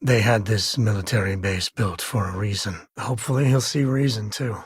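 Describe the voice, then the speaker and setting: An actor voicing a wry, easygoing space ranger and father in a video game, with a husky, raspy voice and a folksy drawl. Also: fairly low pitch, moderate pace, no echo, studio quality. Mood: matter-of-fact. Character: laid-back frontier space ranger, doting father, wry and plainspoken. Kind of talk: video game character dialogue.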